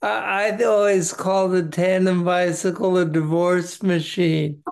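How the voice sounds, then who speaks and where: A middle-aged man talks slowly and haltingly over a video call, pausing often on fillers. His voice is gravelly with noticeable fry. He sounds like he is sitting in a home office. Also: high-pitched, level tone. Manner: slow, halting, with many fillers. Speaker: webinar participant. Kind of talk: candid personal sharing.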